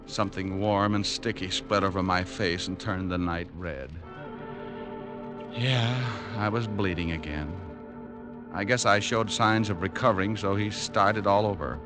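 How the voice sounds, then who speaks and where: A man's voice: on the low side, natural voice. A man playing a wisecracking private eye. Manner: dry, sardonic wisecracks. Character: hard-boiled wisecracking male private detective. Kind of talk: 1940s radio acting with narration. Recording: old radio broadcast with music.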